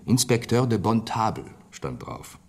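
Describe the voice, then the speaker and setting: A man's voice: lowish voice, very animated, measured pace. An actor playing a chatty, confiding male bartender in a radio play. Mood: excited, confiding. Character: chatty male hotel bartender sharing news. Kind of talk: German radio play acting. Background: guitar music.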